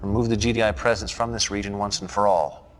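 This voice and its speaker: An actor playing a calm, menacing cult leader in a video game, briefing his commander in a measured, commanding, slyly condescending way. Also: lowish voice, close to an everyday voice. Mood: commanding, assured. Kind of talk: scripted video game cutscene acting.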